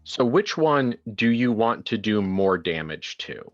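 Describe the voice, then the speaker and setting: A man running a horror tabletop game as game master, narrating deliberately and haltingly with casual asides. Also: lowish voice, sing-song, muffled recording, soft background music.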